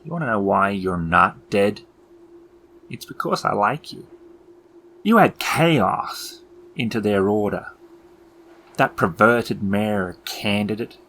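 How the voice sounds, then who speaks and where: A man's voice: fairly low pitch, fairly bright tone, exaggerated voice. A man voicing a menacing, mocking elf clown villain in a theatrical, taunting way for a tabletop game. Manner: theatrical, slow taunting delivery with sudden outbursts.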